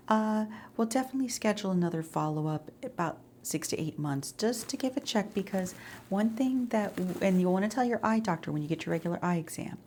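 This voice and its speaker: A woman speaking softly in an ASMR medical roleplay, explaining things in a reassuring, conversational way as an eye doctor. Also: medium pitch, gravelly, slight background noise. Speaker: ASMR creator role-playing an eye doctor.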